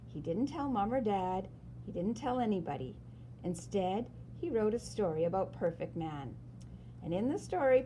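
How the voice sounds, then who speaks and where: A woman reads a children's story aloud expressively, with dramatic emphasis and pauses. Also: mid-range voice, mellow, a little hiss or hum, low-quality recording. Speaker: storyteller reading a picture book aloud.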